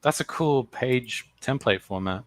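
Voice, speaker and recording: A man's voice: mid-range voice, animated, measured pace. A man chatting casually and crudely about his comic pages, laid-back and joking. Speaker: comic artist co-host. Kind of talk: casual chat.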